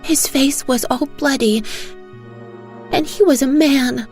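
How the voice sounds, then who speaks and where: Woman acting a terrified, wailing little girl, high-pitched. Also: takes it slowly, darkish tone, faint music behind. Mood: wailing, panicked. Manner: wailing, pleading. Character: frightened little girl, sobbing to her mother.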